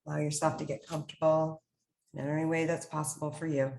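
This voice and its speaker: A woman leading a guided meditation, speaking in short, soothing, instructional phrases with unhurried pauses. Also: low-pitched, level tone, gravelly, darkish tone.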